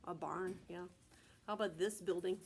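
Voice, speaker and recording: A woman's voice: fairly low pitch, fairly even intonation, normal speed. American woman reading to preschoolers, noisy recording. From Texas, United States. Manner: simple child-directed phrasing, frequent questions. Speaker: preschool teacher. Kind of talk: read-aloud to young children with explanatory asides and questions.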